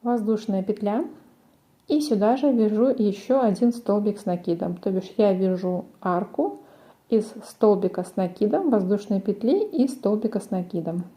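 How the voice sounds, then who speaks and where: A woman's voice: mid-range voice, animated, normal speed, warm tone. A woman presenting a crochet tutorial, explaining each step in a methodical way. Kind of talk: step-by-step craft instruction.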